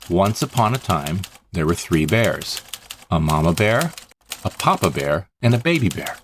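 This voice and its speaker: Low-voiced man explaining step by step. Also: no room sound, studio quality. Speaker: podcast host and story producer. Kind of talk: conversational podcast talk and step-by-step tutorial.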